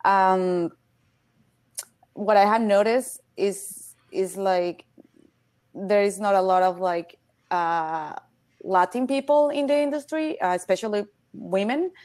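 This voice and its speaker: A woman's voice: mid-range voice, takes it slowly, pauses often, darkish tone. A young woman graduate student on a panel who talks hesitantly and says "like" often. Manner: hesitant, leans heavily on "like". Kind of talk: casual panel talk. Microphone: video call.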